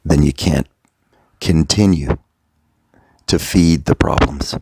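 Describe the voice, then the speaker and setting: A man speaking as a meditation coach in a guided, motivational style, deliberately, in short phrases with long pauses, his voice gravelly and husky. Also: very deep voice, a little mellow, no room sound.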